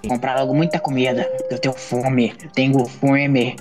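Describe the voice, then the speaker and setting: A man's voice: medium pitch, little pitch movement, moderate pace, over music. A Brazilian man chatting casually and insistently in a role-play game, repeating himself in slang. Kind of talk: casual gaming chat.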